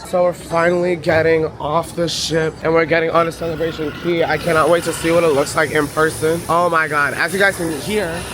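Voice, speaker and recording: A hoarse young man with his voice nearly gone, loudly and excitedly talking to his camera outdoors on a cruise vacation, filmed without a microphone. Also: a little high, brisk, few pauses, background noise. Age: young adult. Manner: loud, excited, chatty. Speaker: vlogger. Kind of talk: casual travel vlog. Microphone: handheld camera, no microphone.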